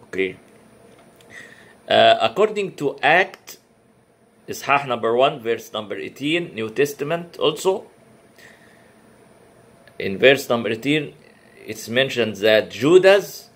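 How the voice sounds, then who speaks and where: A man whose first language is Arabic gives a religious lecture in careful, non-native English, pausing often with fillers and restarting words. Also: medium pitch. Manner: halting, many uh fillers and restarts. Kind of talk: comparative religion talk.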